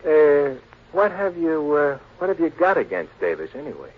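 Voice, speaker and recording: An American actor playing a cocky, slangy, hard-boiled tough guy in 1940s radio crime drama style, with a husky voice. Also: fairly high pitch, sing-song, normal speed. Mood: cocky, sly. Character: cocky, slangy, hard-boiled male crook or private eye. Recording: dull old 1940s radio recording.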